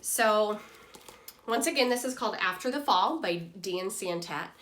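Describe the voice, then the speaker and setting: A woman reading a picture book aloud like a teacher, deliberately, with asides to young students. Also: medium pitch, expressive, fairly bright tone, a little hiss or hum.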